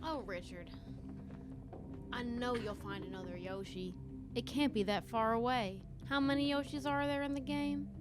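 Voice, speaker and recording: A woman's voice: on the high side, moderate pace, over music. A woman playing a tabletop role-playing game, improvising conversation in character. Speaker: tabletop role-play player.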